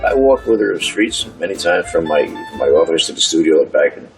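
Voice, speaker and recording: A man with a very deep voice recalls someone in a documentary interview. He stresses his words and hunts for the right ones. Faint music plays in the background. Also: animated, a little fast, rough recording.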